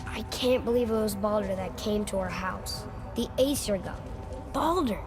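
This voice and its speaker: A man's voice: high-pitched, normal speed, close to an everyday voice. A young male actor playing an eager, curious young boy in a video game, chatty and earnest. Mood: chatty, thoughtful. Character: eager, curious young boy travelling with his stern father. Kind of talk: video game cutscene acting. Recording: loud music behind the voice.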